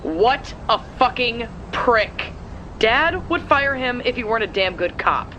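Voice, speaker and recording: Female sidekick for audio drama: actress plays blunt, sarcastic comms operator, very animated, faint noise behind. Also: a little high, measured pace. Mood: blunt, disgusted. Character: blunt, playful sister running a superhero's comms.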